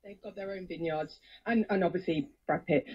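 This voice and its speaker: Muffled, husky talker through an old radio. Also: medium pitch, moderate pace, darkish tone. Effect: played through an old valve radio. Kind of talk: casual radio discussion.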